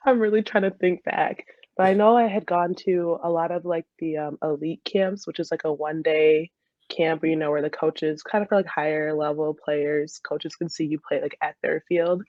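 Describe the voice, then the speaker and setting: A woman's voice: low-pitched, steady tone, moderate pace, dry recording, muffled recording. A young American woman from Michigan answering interview questions in a casual, chatty way as a former college soccer player, often laughing as she talks. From Michigan, USA.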